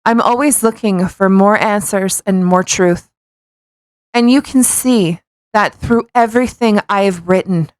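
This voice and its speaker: A woman with a medium-pitched voice, recorded dry and close. She co-hosts a podcast, reading aloud in a mocking, playful way and often tripping over her words. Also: measured pace, no room sound. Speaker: podcast co-host. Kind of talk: mocking read-aloud with casual banter.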